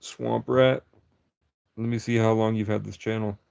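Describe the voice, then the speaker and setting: Man, lowish voice, livestreamer riffing at chat, conspiratorial and meandering, cuts himself off a lot. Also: flat delivery, moderate pace, creaky, a little mellow, clear, resonant tone.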